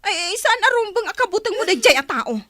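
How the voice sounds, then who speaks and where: A woman acts out a concerned village aunt in an Ilocano radio drama. Her very high voice swings animatedly as she coaxes and questions. The accent is Ilocano. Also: sing-song, fast talker, fairly bright tone, heavily acted. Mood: concerned, coaxing. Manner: coaxing, questioning. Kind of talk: Filipino radio drama acting.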